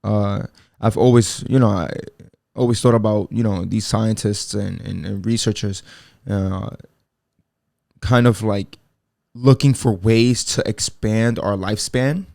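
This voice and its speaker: A man's voice: lowish voice, steady tone, unhurried, gravelly, darkish tone, dry recording, clean studio sound. A man in his twenties hosting a casual solo podcast, rambling in laid-back slang with lots of fillers and restarts. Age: 20s. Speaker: podcast host.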